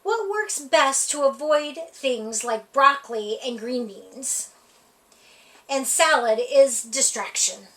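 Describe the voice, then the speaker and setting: A woman with a high voice reads a children's picture book aloud at storytime, her pitch swinging widely as she plays up the lines. The delivery is playful and expressive, and she sounds set back from the microphone. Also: slow pace. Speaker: library storytime reader. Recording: voice heard from a distance off the mic.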